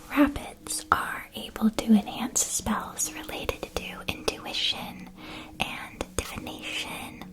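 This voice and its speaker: Gentle witch shopkeeper, acted by a woman, whispered, unhurried, soothing and reassuring, fantasy ASMR roleplay. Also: a little high, low-quality recording. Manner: soft, soothing, halting with reassurance.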